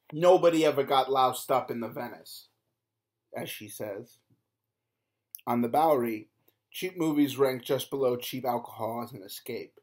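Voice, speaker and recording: A man reading an audiobook aloud in a measured narrating voice, with emphatic lifts. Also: medium pitch. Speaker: audiobook reader.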